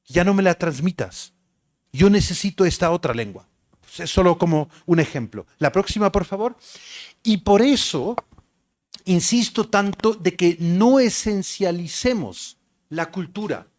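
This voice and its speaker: High-voiced man giving an academic lecture. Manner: measured, emphatic, repeats lists.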